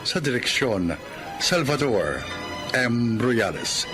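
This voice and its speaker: A Filipino man hosting a radio drama with formal, measured, dramatic scripted narration. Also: mid-range voice, very animated, music in the background. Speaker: host and announcer of a radio drama.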